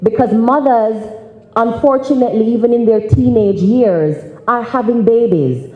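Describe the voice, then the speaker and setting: A young Jamaican woman giving a public speech, phrasing it deliberately and emphatically. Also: on the high side, dull audio. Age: young adult. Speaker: youth organisation president, speaker. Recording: roomy, echoing conference hall.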